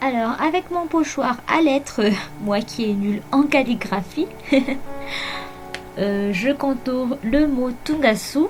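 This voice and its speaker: A woman whose first language is Malagasy, narrating a journal planning video in a hesitant way with many fillers and soft laughs. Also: sits high, slow. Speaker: bullet journal vlogger. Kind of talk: voice-over narration of a plan with me. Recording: faint music behind voice-over.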